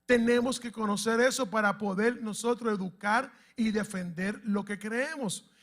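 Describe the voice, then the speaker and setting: A man's voice: sits high, steady tone, normal speed, a bit bright. A man from the Dominican Republic and Puerto Rico, preaching and teaching a congregation, often putting questions to them. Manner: emphatic, asks the congregation questions. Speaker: pastor.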